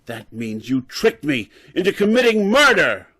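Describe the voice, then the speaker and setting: A man plays a proud professor of science from an old radio thriller. His speech is formal and indignant, and his pitch swings widely as he drives each point home. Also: very animated, moderate pace, bright, forceful tone, heavily acted. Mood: shocked. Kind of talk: old-time radio drama acting.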